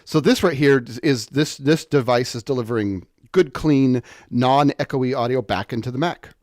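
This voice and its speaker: A man's voice: mid-range voice, normal speed, a little mellow, dry recording, studio quality. A man presenting an unscripted technical tutorial in an informal way, thinking aloud and correcting himself as he explains.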